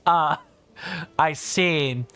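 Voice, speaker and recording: A man livestreaming a game, chatting casually and reading out viewer comments, with a big booming voice. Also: drawn-out words, bright, forceful tone, clean tone, dry recording. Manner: chatty, thinks aloud, reads viewer comments. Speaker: streamer. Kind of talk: casual gameplay livestream chat.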